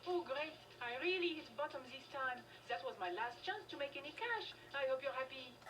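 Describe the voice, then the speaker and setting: High, swooping, broke nightclub schemer. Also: female voice, lively intonation, a little fast. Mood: desperate, sulky. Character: broke, scheming, resentful female nightclub hanger-on. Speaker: game character voice actor. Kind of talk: scripted video game dialogue. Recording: slightly distant game audio with music behind.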